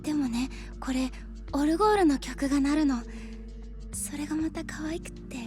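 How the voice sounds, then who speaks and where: An actress playing a gentle, shy young blind woman in a Japanese video game drama, speaking softly and hesitantly. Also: sits high, quick pace, music in the background, lightly acted. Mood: fond, gentle.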